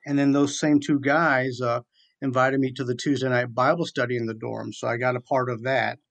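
A man in his forties speaks at a medium pitch as a guest in an interview. He tells his story earnestly and in detail, often circling back to restate a point. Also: flat delivery, moderate pace, vocal fry, fairly bright tone. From southeastern Virginia, USA. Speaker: military chaplain, radio guest.

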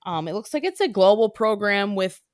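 A woman's voice: medium pitch, lively intonation, normal speed, no echo, studio quality. A woman co-hosting a casual podcast, chatty, laughing often and using many fillers. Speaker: podcast co-host. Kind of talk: casual chat.

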